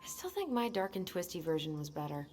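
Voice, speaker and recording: A woman's voice: on the low side, big pitch swings, fast, a little mellow, faint music behind. A woman playing a wry, reflective young adult in a video game, speaking in an understated way. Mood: wry, nostalgic. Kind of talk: game cutscene voice acting. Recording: faint background noise.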